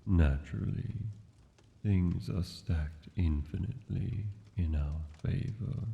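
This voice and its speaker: A tender, possessive dragon king, acted by a man, speaks in a very low, extremely slow voice. His manner is courtly and intimate, as in a fantasy roleplay, and the muffled sound hangs in a long hall-like reverb. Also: creaky, warm tone, exaggerated voice. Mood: wry, indulgent. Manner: formal, unhurried, courtly endearments.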